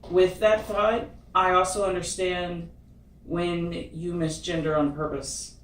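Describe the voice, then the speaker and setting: A woman's voice: fairly low pitch, level tone. A woman hosting a casual chat who speaks hesitantly, restarting sentences and searching for words. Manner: halting, hesitant, restarts and fillers. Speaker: host. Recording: roomy, distant mic, faint noise.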